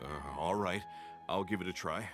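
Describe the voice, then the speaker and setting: A man acts an ordinary young townsman in a fantasy game. His voice is low, casual and a little grumbling, the sound of a hesitant daydreamer, with loud music underneath. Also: moderate pace, close to an everyday voice. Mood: reluctant. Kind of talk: game voice acting.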